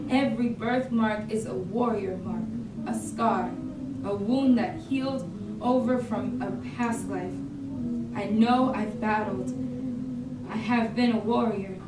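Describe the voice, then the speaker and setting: A woman performs a proud warrior daughter who loves herself fiercely. The delivery is incantatory spoken word in a slightly high voice, distant, with clear room noise. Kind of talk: staged reading, spoken-word monologue. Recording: distant, echoey, noisy room.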